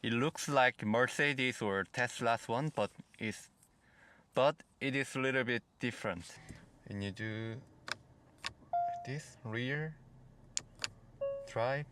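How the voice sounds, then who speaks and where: A man with a Korean accent, whose first language is Korean, gives a car review walkthrough in plain, halting phrases. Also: mid-range voice, noisy background. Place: in and around a car.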